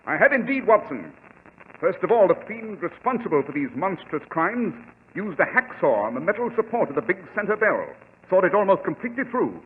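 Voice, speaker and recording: A muffled old radio drama recording of an assured British gentleman detective. His voice is fairly high and sweeps expressively, with brisk, precise and formal diction in 1940s radio style. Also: big pitch swings, a little hiss or hum. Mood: intent.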